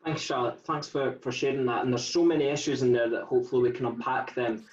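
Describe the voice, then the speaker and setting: A man's voice: mid-range voice, steady tone, fast talker, a crowd in the background. Guy hosting a video call panel, polite and hesitant, sounds far off in a roomy space. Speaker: panel host. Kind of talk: moderating an online panel. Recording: dull, echoey, far from the mic.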